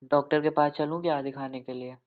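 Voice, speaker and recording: A man's voice: a little high, steady tone, fairly quick, lightly acted. A man playing a caring, insistent young husband who banters affectionately in a casual domestic audio roleplay, with an informal, coaxing way of talking. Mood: concerned. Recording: tinny narrow phone-like band.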